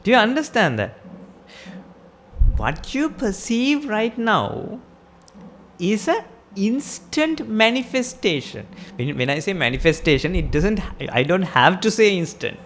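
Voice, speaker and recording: A woman's voice: medium pitch, big pitch swings, a little slow, a little hiss or hum. A woman with a Sri Lankan accent giving a Buddhist sermon, explaining step by step and asking her listeners rhetorical questions. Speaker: Buddhist teacher.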